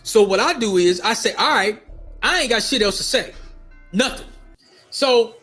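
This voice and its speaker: A middle-aged man with a notably high voice tells a personal story. He talks casually and expressively, circling back on phrases to stress them. Faint music plays behind him. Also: moderate pace. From United States, Minnesota. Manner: animated retelling with acted-out quotes, repeating phrases for emphasis. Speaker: storyteller vlogger.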